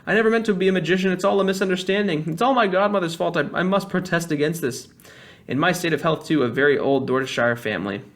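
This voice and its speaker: Guy doing a wailing old gentleman magician from a storybook, shaky high voice, stammery, sorry for himself. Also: quick pace. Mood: wailing self-pity. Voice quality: trembling. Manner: whiny, pleading, stammering.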